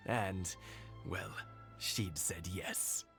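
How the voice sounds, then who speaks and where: Elderly father, video game, lowish voice, kindly, measured, reflective, music behind. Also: steady tone, gravelly, a bit bright, exaggerated voice. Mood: fond, nostalgic. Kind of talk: English video game voice acting.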